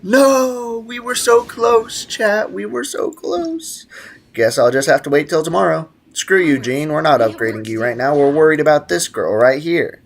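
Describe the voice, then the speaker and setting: A man's voice: on the high side, normal speed, creaky. A man hosting a casual gaming livestream, talking to his chat in playful slang and prone to exaggerated outbursts. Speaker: live streamer hosting a gaming stream. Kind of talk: casual gaming livestream chat, reading viewer comments aloud.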